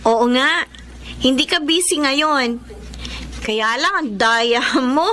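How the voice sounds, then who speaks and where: An actress with a Filipino accent playing a sweet, earnest young blind former student in a radio drama. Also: female voice, high voice, slow, bright, forceful tone, slight background noise, heavily acted. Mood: playful, eager.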